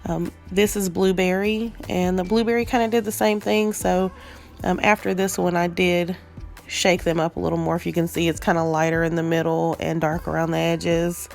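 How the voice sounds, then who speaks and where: A woman's voice: on the low side, normal speed, gravelly, faint music behind. Stuffy-nosed woman chatting through an unboxing. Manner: chatty, frequent ums, relaxed. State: stuffy from a cold. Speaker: nail art vlogger. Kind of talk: casual unboxing chat.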